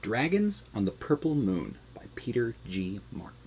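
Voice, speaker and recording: A man reading to children as a storyteller, expressive, with dramatic emphasis. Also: medium pitch, moderate pace, slightly rough, poor audio.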